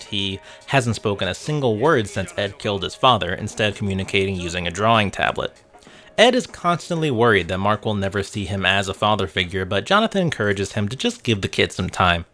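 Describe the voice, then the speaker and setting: A man's voice: lowish voice, pushed and bright. A man narrating a scripted video essay in a wry, conversational way with casual asides, sometimes casually sweary. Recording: background music under narration. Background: music.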